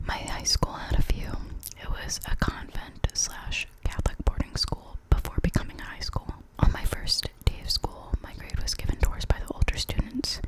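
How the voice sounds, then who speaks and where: An ASMR storyteller reading spooky local legends in a soft, unvoiced whisper, now and then stumbling and correcting themself. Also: normal speed, rough recording. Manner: soft unvoiced whisper throughout, with small slips and self-corrections.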